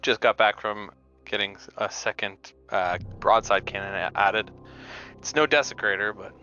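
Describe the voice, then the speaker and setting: A man playing a tabletop game, speaking in a measured way in character as a ship captain. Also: lowish voice, pushed and bright, highs cut off, soft background music. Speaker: player.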